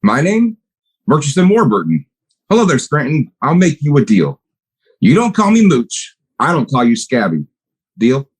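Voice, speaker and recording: A man's voice: fairly high pitch, huge pitch swings, normal speed, breathy edge, exaggerated voice. A man playing a plainspoken, matter-of-fact milkman and part-time partner in a radio play. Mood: deadpan.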